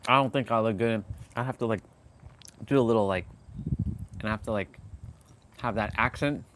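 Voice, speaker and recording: A man's voice: lowish voice, moderate pace, background noise. A man hosting a casual livestream, rambling with many restarts and fillers and laughing often. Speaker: livestream host.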